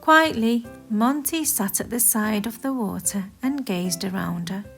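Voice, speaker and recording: A woman reading a children's story aloud as expressive scripted narration, in a deliberate, animated manner. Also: mid-range voice, vocal fry, soft background music.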